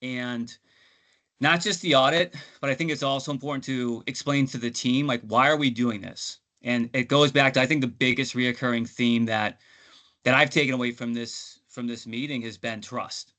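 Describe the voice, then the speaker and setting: A man's voice: mid-range voice, steady tone, normal speed, bright, forceful tone. A man on a webinar panel giving conversational business advice in an informal, explanatory way. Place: online webinar call.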